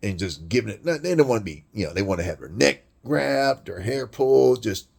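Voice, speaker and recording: A man with a deep voice delivering a blunt, crude, rambling monologue rant full of swearing. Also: lively intonation, fairly quick, gravelly, darkish tone. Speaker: vlogger.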